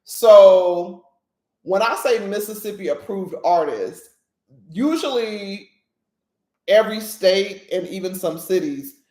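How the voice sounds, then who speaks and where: A woman's voice: medium pitch, animated, slow, a little mellow, reverberant. A woman hosting a casual solo podcast, talking emphatically, often checking in with her listeners and laughing at her own jokes. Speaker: podcast host.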